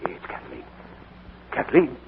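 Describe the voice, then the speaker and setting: An actor playing a bitter, unforgiving older uncle, stern and grave, in 1940s radio acting on an old broadcast recording. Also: male voice, slow pace, natural voice. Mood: grieving. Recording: old AM broadcast, narrow and hissy.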